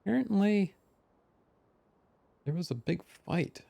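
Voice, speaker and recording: A man's voice: sits high, expressive, slow, creaky, dark tone, dry recording. A man live streaming a video game, chatting casually with his viewers in an upbeat, friendly way.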